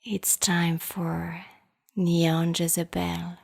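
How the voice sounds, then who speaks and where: A woman's voice: lowish voice, level tone, very slow, gravelly, heavy background noise, heavily acted. A woman playing the sultry narrator of a noir radio drama serial. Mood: sultry, ominous. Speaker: narrator introducing an episode of a serial.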